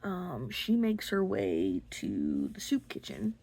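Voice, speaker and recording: A woman hosting an unscripted book talk, speaking in a halting way with pauses mid-phrase. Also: on the low side, wildly swooping pitch, gravelly, dark tone, breathy edge. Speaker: book review host.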